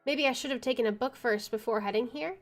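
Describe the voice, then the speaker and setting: A woman's voice: a little high, fast talker. A woman streaming a video game, reading its story narration aloud and adding casual asides.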